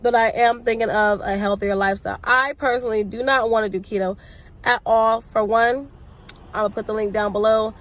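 A woman's voice: on the high side, a little fast. Stuffy-voiced woman vlogging from her car. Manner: chatty, rambling, lively slang. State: sick, congested voice. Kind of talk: casual chat to camera. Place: inside a parked car. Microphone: phone camera at arm's length.

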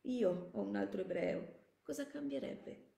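A woman voicing a gentle, resigned schoolteacher in a story, tender and sorrowful. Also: mid-range voice, expressive, measured pace, vocal fry. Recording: echoey room.

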